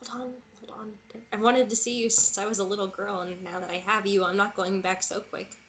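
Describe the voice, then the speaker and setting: A woman's voice: mid-range voice, flat delivery, brisk, fairly bright tone, a little hiss or hum, close to an everyday voice, low-quality recording. An actress playing a determined, teasing and wistful young woman in a fantasy romance table read. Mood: weary, determined.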